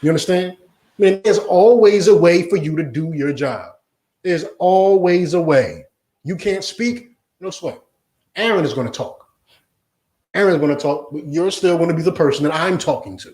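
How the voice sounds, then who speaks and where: A man's voice: a little high, animated, moderate pace. Preaching man in an echoey room. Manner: forceful, repeats "you understand?". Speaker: religious teacher. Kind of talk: preaching lesson to a class.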